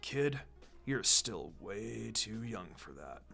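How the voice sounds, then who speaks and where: A man voicing a huge, protective fox beast in a story, with a gruff, teasing, hushed manner. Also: lowish voice, very animated, takes it slowly, voice pushed down, exaggerated voice. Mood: teasing, caring. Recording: music behind the voice.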